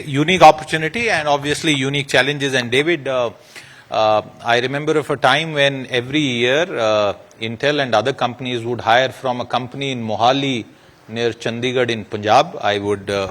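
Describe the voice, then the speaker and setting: A man's voice: medium pitch, a little fast, fairly bright tone. A man moderating a live panel discussion, speaking with frequent hesitations and light jokes. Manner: hesitant, many fillers, jokey asides.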